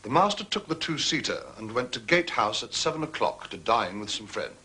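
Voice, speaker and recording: An actor playing a self-important, discreet butler in a period detective drama, formal and condescending in manner. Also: male voice, mid-range voice, very animated, brisk, pushed and bright. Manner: formal, pompous, deferential to authority.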